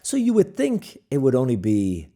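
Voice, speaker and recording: An Irish man in his thirties hosting a podcast from a home studio, asking quick, rambling questions on a stand mic. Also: mid-range voice, huge pitch swings, mellow. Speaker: podcast host. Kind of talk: conversational interview.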